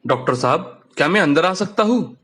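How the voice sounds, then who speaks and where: A man's voice: fairly high pitch, animated, moderate pace, fairly bright tone, slightly rough. A man voicing a dutiful, worried young husband in a cartoon story. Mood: worried, respectful.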